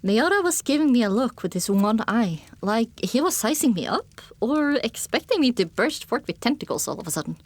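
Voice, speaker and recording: Animated woman narrating an audiobook. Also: fairly high pitch, fairly quick, few pauses. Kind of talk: expressive first-person fiction narration.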